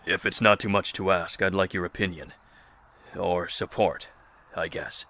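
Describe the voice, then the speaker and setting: Survivor commander, male actor, audio drama: very deep, forceful, measured, pragmatic, persuasive. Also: steady tone. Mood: earnest, persuasive. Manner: measured, formal, earnest. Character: serious male survivor leader, pragmatic, persuasive, pressing for results. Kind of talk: fan-made audio drama acting.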